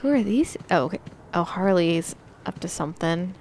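A woman's voice: on the low side, animated, normal speed, background noise. A woman hosting a reaction video, chatting casually and asking curious questions. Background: game audio and music.

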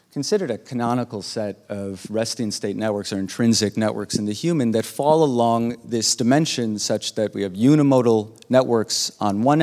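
A man giving a keynote talk at an academic conference, explaining slides in a measured way with frequent filled pauses. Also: mid-range voice, darkish tone. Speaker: keynote speaker. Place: large lecture hall. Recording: reverberant lecture hall sound.

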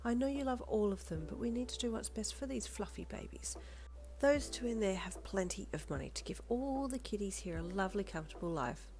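A woman voicing a gentle, caring, motherly figure in an audio storybook, soft and breathy, tenderly consoling a child. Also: medium pitch, lively intonation, brisk, voice pushed up, close to an everyday voice. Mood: soothing. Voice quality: soft, breathy, half-whispered. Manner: tender, consoling, uses endearments.

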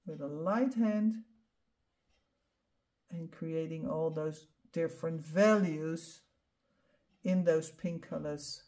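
A middle-aged woman with a Dutch accent, not a native English speaker, calmly explaining each step of a painting tutorial as she works. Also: low voice, slow pace, pauses often. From Netherlands. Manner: calm step-by-step explaining while demonstrating. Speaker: art instructor.

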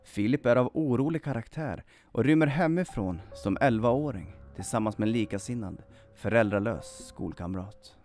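A man in his thirties reading a scripted true-crime story in a measured, dramatic storytelling manner. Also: medium pitch, a little mellow. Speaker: storyteller, channel host. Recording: music under the voice. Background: background music.

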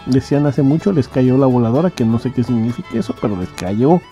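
A man's voice: mid-range voice, brisk, mellow. A man with a Mexican accent hosting a podcast, chatting casually in commentary on a radio serial. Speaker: podcast host. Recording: dull lo-fi sound, music behind. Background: music.

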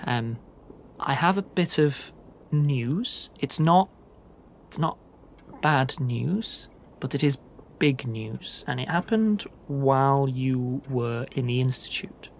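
A man playing a hesitant, grave man in a tabletop roleplay, speaking formally and carefully, with halting delivery. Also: medium pitch. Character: hesitant, grave man breaking difficult news. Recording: clear background noise.

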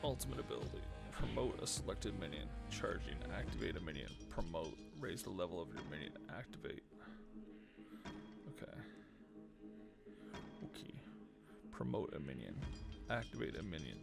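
A man's voice: sits low, sing-song, moderate pace, gravelly, pauses often. A man in his thirties doing casual game commentary, muttering as he thinks aloud and reads on-screen text. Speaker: game streamer. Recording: game music behind the voice. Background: video game music.

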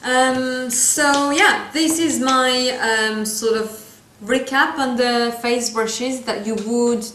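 A woman in her thirties speaks English with a French accent, her voice sitting a little high. She chats through a makeup tutorial in a playful, loose way, pausing to hunt for English words. The camera mic catches the echo of a small room. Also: fairly bright tone, slight background noise. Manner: chatty, playful asides, searching for English words. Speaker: makeup vlogger. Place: small room indoors, seated.

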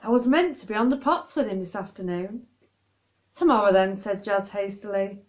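A woman's voice: mid-range voice, moderate pace, darkish tone. A woman reading a children's story aloud on a lo-fi home recording. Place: high-ceilinged living room.

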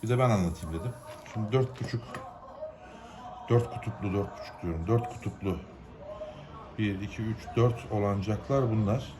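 A man's voice: lowish voice, normal speed, noisy background. A man from Turkey gives an informal, unscripted how-to, explaining step by step in plain, colloquial words. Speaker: hobbyist giving a DIY repair tutorial.

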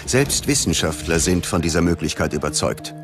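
A man's voice: sits low, animated, moderate pace. A man narrating a documentary from a script in a grave, dramatic manner. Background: background music.

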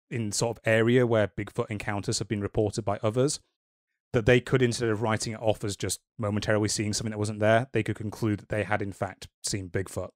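A man's voice: on the low side, dry recording. Dry-voiced man hosting a chatty podcast. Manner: measured, wry and dryly joking. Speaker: podcast host. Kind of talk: casual podcast discussion.

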